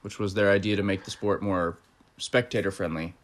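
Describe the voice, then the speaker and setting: Low-voiced man hosting a casual chat. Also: a little fast. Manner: relaxed, conversational, with fillers. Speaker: host.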